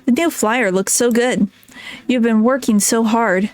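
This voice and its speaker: A woman reading a gentle, caring teenage girl in a soft, halting voice. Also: fairly high pitch, fairly even intonation, dry recording, faint music behind, close to an everyday voice.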